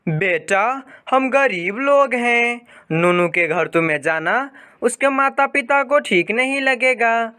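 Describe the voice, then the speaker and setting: Cartoon mother bird, voiced by the narrator: poor, resigned, gentle, smooth slow speech. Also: medium pitch, expressive, pitched above the performer's own voice. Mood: cautioning.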